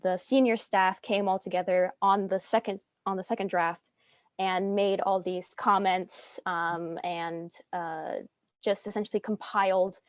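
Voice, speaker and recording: A woman's voice: medium pitch, fairly even intonation, measured pace, no room sound, studio quality. A young woman, a student, casually explaining a process step by step. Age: young adult. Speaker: student story editor.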